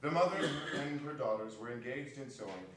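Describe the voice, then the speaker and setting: A man's voice: mid-range voice, expressive, brisk, a bit bright. A man narrating a scripted story as part of a dramatic stage reading. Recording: very echoey hall, distant mic, faint noise.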